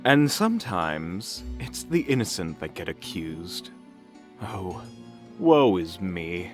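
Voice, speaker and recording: A man's voice: low-pitched, sing-song, takes it slowly, full character voice. A man playing a smug, sardonic, theatrical mage in a fantasy audio drama. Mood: mock-woeful, smug. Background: faint music.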